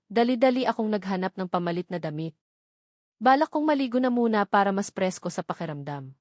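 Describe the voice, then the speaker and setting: A woman's voice: mid-range voice, darkish tone, no echo, studio quality. A woman with a Filipino accent reading a first-person novel aloud as a scripted narration. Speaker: audiobook narrator.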